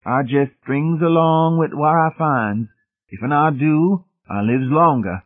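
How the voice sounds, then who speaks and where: A man voicing an uneasy, defensive, mocking man with a husky voice in a storybook reading. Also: a little high, very animated, brisk, phone-quality sound, voice pushed up.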